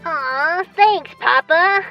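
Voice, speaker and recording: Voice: extremely slow, highs cut off, crowd noise, heavily acted. A performer voicing a perky, bratty young turtle-monster boy in a fan cartoon, in a childlike, cheeky voice. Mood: sheepish. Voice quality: childlike, high-pitched. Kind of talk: cartoon voice acting for fan animated series.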